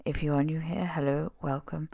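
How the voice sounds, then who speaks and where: A woman with a cold and a husky voice, chattily narrating her makeup tutorial with frequent ums. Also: deep voice, fairly even intonation, normal speed, dark tone, dry recording. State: has a cold. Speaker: makeup vlogger.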